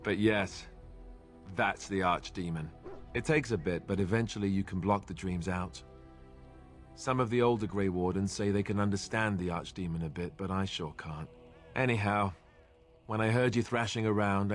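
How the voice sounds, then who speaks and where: An actor playing a friendly, self-deprecating young warrior in a fantasy video game, conversational and wry. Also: male voice, deep voice, normal speed, faint music behind, close to an everyday voice. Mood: wry, reassuring.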